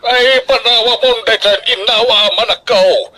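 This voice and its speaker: A man's voice: very high voice, steady tone, normal speed, sharp tone. A man playing a strict, worrying middle-aged father in an Ilocano radio drama, with an emphatic, scolding manner. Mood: caring. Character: strict, worrying Filipino father of a student daughter.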